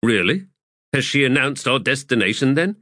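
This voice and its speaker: A man voicing a cheeky, bitter wounded soldier with a sardonic, quick tongue. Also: medium pitch, expressive, pushed and bright, no room sound, clean studio sound, pitched above the performer's own voice.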